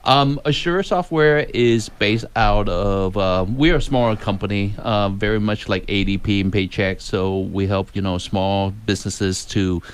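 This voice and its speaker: A man appearing as a first-time radio guest, friendly and hesitant, with many pauses and restarts. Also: lowish voice, clean tone. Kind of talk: casual interview talk. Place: radio studio.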